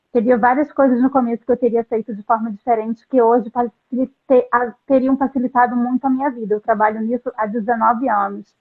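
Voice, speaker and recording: A middle-aged woman with a somewhat high voice tells her story in an earnest, halting way, often restarting her sentences. The sound is thin and phone-like, as over a video call. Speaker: translator, interview guest. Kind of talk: reflective interview storytelling. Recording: tinny phone-like line.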